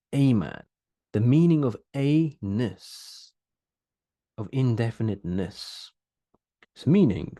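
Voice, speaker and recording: Earnest young man teaching, mellow dry voice. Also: medium pitch, no echo. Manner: earnest, explanatory, repeats words while thinking. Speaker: Arabic grammar teacher. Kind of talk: online whiteboard lesson.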